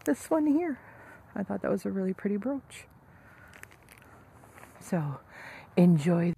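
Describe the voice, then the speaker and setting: A woman vlogger giving chatty, excited commentary to her viewers while out shopping at sales. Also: mid-range voice, slow pace, creaky, a little hiss or hum. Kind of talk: casual vlog commentary.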